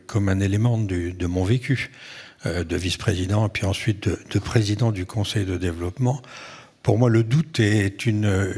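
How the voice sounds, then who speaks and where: A man who heads a civic council, giving measured, halting, reflective remarks on a panel. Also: on the low side, vocal fry, a little mellow, slightly rough. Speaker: president of a citizens' advisory council. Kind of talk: round-table panel remarks.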